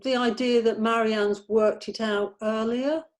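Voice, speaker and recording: A woman who writes scripts, talking informally and tentatively, halting and repeating words as she explains her thoughts. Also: fairly high pitch, fairly even intonation. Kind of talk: informal creative discussion.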